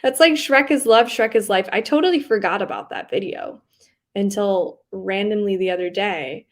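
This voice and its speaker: A young woman with a faint Southern accent rambles easily through a casual livestream, full of likes, ums and quick giggles. Her voice sits at an ordinary mid pitch. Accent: slight Southern US on some words. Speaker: booktube livestream host. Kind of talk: casual chat reading live comments.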